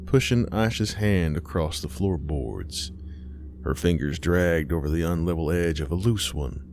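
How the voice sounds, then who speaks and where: Deep-voiced male horror narrator, unhurried. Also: a little mellow. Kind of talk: scripted horror story narration.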